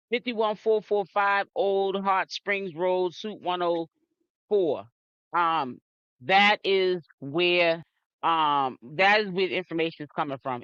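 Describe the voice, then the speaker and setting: A man's voice: a little high, fairly bright tone, smooth, no room sound, muffled recording. A man from Boston hosting a casual live-stream commentary, chatting straight to his viewers. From Boston, Massachusetts. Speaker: YouTube commentary host.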